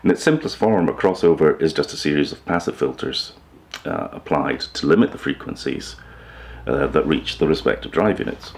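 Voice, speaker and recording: A man with an extremely low voice and a heavy creak presents an explainer. He talks informally to the viewer, keeping a measured, matter-of-fact manner throughout. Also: expressive. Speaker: host of a hi-fi upgrade video channel.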